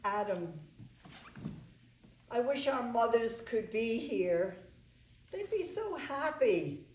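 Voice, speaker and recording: A woman's voice: a little high, big pitch swings, takes it slowly, a little mellow, a little hiss or hum. A woman reading the part of an older bride in a staged play, wry and fondly reminiscing. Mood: wistful, nervous. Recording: roomy, echoing live room recording.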